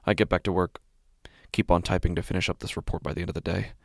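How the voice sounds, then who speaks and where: A man playing an exhausted, anxious father, narrating a first-person horror audio drama in a gravelly, husky, halting voice. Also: deep voice, steady tone, dry recording, studio quality. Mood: weary, uneasy. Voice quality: gravelly, husky, often breathy. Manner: hushed, halting delivery with heavy sighs. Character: exhausted, anxious father haunted by his daughter's calls.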